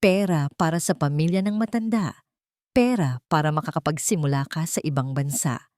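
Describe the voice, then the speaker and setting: Audio-drama villainess: cold, calculating young woman, read by a female storyteller, lowish voice, dry close sound. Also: moderate pace, a little mellow, dry recording, close to an everyday voice. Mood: cold, coaxing menace. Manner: icy, calculating, menacing. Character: cold, scheming young woman blackmailing her former lover.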